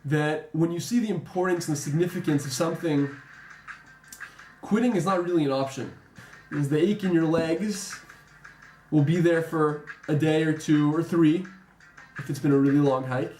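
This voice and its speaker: A young American man who served as a soldier, speaking in a measured, reflective way with some ums. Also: a little high, a little mellow, echoey room, faint music behind. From United States. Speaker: former soldier interviewee. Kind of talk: earnest interview testimony.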